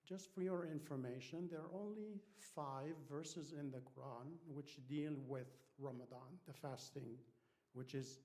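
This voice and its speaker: A man's voice: on the high side, measured pace, mellow. A man from Egypt with an Egyptian accent, a native Arabic speaker, giving an informal talk as a guest speaker to a congregation. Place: church hall.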